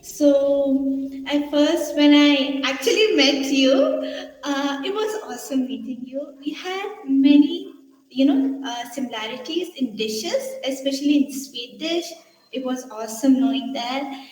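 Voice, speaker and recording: A woman's voice: sits high, animated, a little slow, darkish tone. A woman from India with an Indian accent, answering interview questions cheerfully and effusively. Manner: cheerful, effusive, sprinkled with "you know". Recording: echoey room with long reverb.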